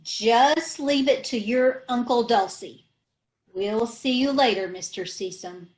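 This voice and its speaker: A woman's voice: fairly high pitch, takes it slowly, a little mellow. A woman playing a scatterbrained, muddled wife in an old-time radio comedy, recorded over a video call. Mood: dizzy. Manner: dizzy, malapropping.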